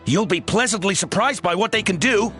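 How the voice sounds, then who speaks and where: An actor playing an upbeat, showy merchant in a video game, with a drawn-out salesman's delivery. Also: high voice, expressive, sharp tone, faint music behind. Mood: eager. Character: upbeat, showy male merchant selling flying gadgets.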